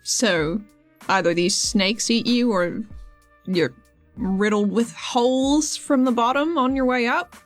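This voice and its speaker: A woman's voice: on the high side, slow, soft background music. A woman playing a tabletop role-playing game, chatting casually as she reacts and asks questions.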